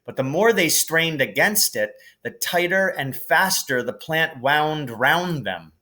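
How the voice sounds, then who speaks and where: A man reading a storybook aloud as scripted narration, in an expressive, dramatic manner. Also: a little high, slow pace.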